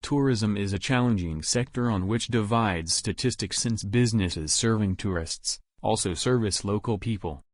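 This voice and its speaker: Lowish-voiced man reading aloud, dry sound. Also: moderate pace, hardly any pauses, no echo. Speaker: read-aloud model reader. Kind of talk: scripted read-aloud of exam passages.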